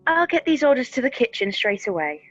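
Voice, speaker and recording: Woman playing a fluttery waitress, high lively voice. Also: a little fast. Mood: eager. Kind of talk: comic radio-play acting. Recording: dull home recording, music underneath.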